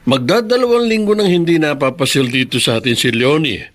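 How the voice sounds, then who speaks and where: Slightly high-voiced concerned Filipino father. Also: fairly quick, fairly bright tone. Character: concerned, observant middle-aged father. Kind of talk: Filipino radio drama acting.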